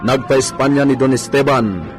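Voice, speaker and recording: A man announcing a scripted radio drama with a theatrical, drawn-out delivery. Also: medium pitch. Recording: music underneath. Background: music.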